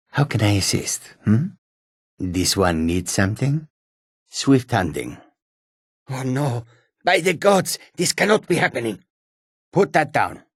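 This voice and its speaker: A man voicing a wary, combative male cat-folk character from a fantasy video game, with a gravelly, raspy voice and exotic, formal phrasing. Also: on the low side, no room sound, studio quality, heavily acted. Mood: calm, courteous, then panicked, then warning.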